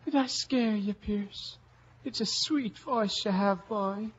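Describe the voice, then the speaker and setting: An actor playing a stammering, giggly village murderer in a 1950s radio drama, on a dull old broadcast recording. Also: male voice, high-pitched, slow, husky, exaggerated voice. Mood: menacing. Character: murderous Irish villager, excitable then menacing.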